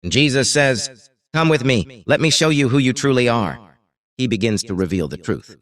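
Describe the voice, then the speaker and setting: Man, guided meditation narrator, soothing, deliberate, short paused phrases, highly varied intonation. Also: expressive, fairly bright tone, no room sound, clean studio sound.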